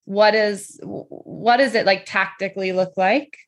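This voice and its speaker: A woman's voice: mid-range voice, fairly even intonation, a little slow. A woman hosting a podcast interview, reading an upbeat introduction and then asking friendly questions. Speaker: podcast host.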